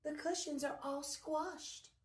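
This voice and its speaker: A woman voicing a gentle mother bear with a soft, low voice. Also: fairly even intonation, slow, distant mic. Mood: surprised. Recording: roomy echo.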